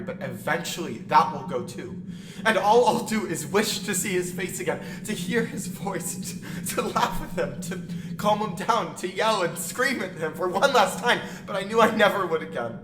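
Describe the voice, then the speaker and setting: A man playing a grieving, lonely young man in an anguished, halting audio drama monologue. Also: a little high, lightly acted. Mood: grieving, tearful, then pained longing. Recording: roomy echo with clear background noise.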